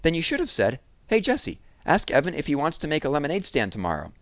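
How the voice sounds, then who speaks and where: A man's voice: fairly high pitch, huge pitch swings, fast, a bit bright, no room sound, pitched above the performer's own voice, heavily acted. A man voicing a stubborn, defensive young schoolgirl in an emphatic, indignant way for a storybook.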